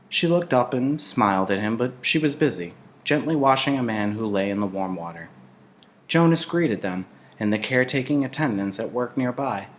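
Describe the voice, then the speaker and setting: A man reading a novel aloud in an expressive narrating style. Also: fairly low pitch, a little hiss or hum.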